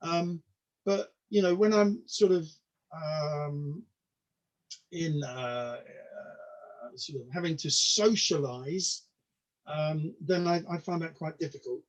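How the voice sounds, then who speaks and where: A man in his sixties whose first language was Polish, a comedian chatting as a guest in a hesitant way, repeating words and filling pauses. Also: a little high. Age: 60s. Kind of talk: casual interview talk. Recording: dull lo-fi sound.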